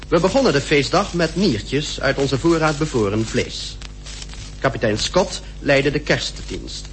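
An actor playing a polar expedition member recounting his diary in clear, formal radio-drama style. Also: medium pitch, a little hiss or hum, close to an everyday voice.

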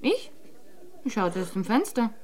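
An actress playing a cool, cheeky young crime novelist and sleuth in a radio play, wry and self-assured. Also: female voice, mid-range voice, very animated, unhurried, a little hiss or hum. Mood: matter-of-fact. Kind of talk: German radio play dialogue.

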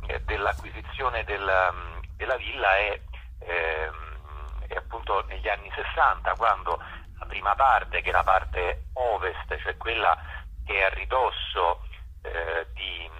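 A man explaining things informally as a radio interview guest, often halting to search for words, with enthusiasm for his subject. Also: deep voice, sharp tone, breathy edge, rough recording. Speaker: interview guest, spokesman for a park conservation association.

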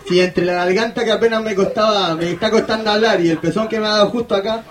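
A man hosting radio in a playful, rambling, repetitive way. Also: high-pitched. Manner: rambling, repeating words, whimsical. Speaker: radio show host. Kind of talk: playful improvised radio introduction.

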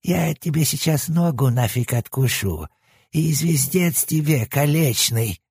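Nasal, sleazy hellhound head. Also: male voice, on the high side, expressive, measured pace, a little mellow, husky, dry recording, studio quality, pitched above the performer's own voice, exaggerated voice. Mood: sneering. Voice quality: nasal, sleazy, like a stoned junkie. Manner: cheeky, teasing, crude. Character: mischievous nasal left head of a three-headed hellhound. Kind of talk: audiobook character voice.

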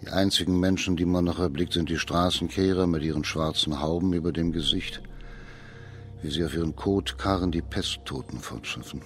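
A low male voice of an actor gives us a grave imperial judge burdened by office. The delivery is measured and commanding, in radio-play style, over clear background noise. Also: close to an everyday voice. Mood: somber, weary. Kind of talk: German radio play acting.

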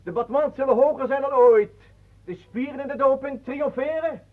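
An actor playing a pompous, mocking self-styled ballet master with florid, theatrical delivery in a radio play. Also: male voice, extremely high pitch, moderate pace. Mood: grandiose, exalted. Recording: muffled phone-like old radio recording.

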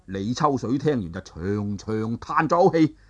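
A male storyteller narrating a traditional tale with animated, drawn-out phrasing and sentence-final particles. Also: medium pitch.

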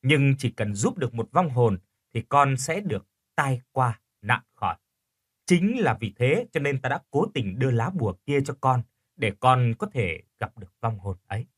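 A man voicing a kindly, dignified sixty-year-old village healer in an audio story, with an unhurried, fatherly manner. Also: medium pitch, animated, slightly rough. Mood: calm, knowing.

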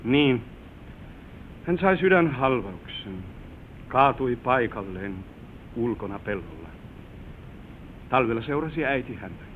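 Man acting a gallant young bridegroom, very lively intonation, tender and proud, old radio drama, narrow hissy recording. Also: medium pitch, long pauses, close to an everyday voice. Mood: fond, reflective. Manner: tender, gallant, expressive stage delivery. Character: affectionate newlywed husband proudly showing his bride the family manor.

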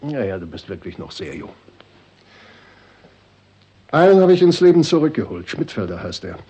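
A man's voice: mid-range voice, big pitch swings, normal speed, dark tone, highs cut off. An actor playing a suave, cynical doctor and father in a radio play, sardonic and coldly self-serving. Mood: scornful. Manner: sardonic, sweeping between smooth and scornful. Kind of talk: 1960s German radio play acting.

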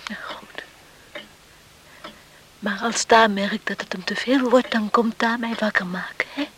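High-voiced caring young daughter. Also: female voice, measured pace, fairly bright tone, a little hiss or hum, poor audio. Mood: gentle, concerned. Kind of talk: radio play acting.

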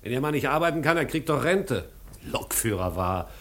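A man's voice: mid-range voice, big pitch swings, normal speed, fairly bright tone. A man acting a blunt, bitter postwar official in a 1950s German radio drama, speaking in emphatic, rhetorical phrases. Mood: dismissive.

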